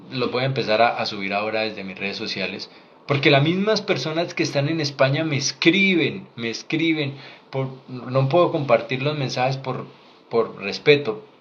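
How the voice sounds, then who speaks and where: A man's voice: mid-range voice, a bit bright. Earnest Colombian man giving frank advice. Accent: Colombian Spanish. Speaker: doctor sharing career advice. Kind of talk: direct-to-camera advice vlog. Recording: slightly dull lo-fi sound with faint noise.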